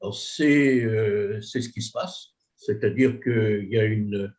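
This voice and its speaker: A man's voice: lowish voice, slow, darkish tone. A man moderating a webinar panel over a video call, speaking informally and familiarly with some hesitation. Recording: dull lo-fi audio.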